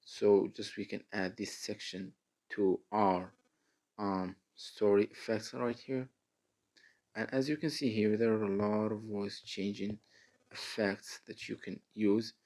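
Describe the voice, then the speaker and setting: A man with a low voice and a heavy, creaky fry hosts a how-to tutorial. He walks through each step in a deliberate, halting manner, addressing the viewer directly. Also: fairly even intonation, darkish tone. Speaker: tutorial host. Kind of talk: step-by-step screen tutorial narration.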